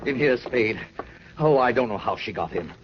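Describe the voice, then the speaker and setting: An actor playing a nervous, self-pitying husband with stammering, theatrical 1940s radio delivery. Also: male voice, medium pitch, lively intonation, normal speed, loud music behind the voice, lightly acted. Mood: shaken, evasive. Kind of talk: 1940s radio drama acting. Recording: dull, noisy 1940s radio recording.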